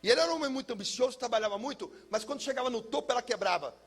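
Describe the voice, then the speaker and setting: A Brazilian man speaking as a pastor, telling a personal testimony in an emphatic, theatrical way with deliberate repetition. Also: very high-pitched, lively intonation, a bit bright, breathy edge, faint music behind. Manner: emphatic, theatrical, uses pauses and repetition for effect. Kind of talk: personal testimony, dramatic storytelling to listeners.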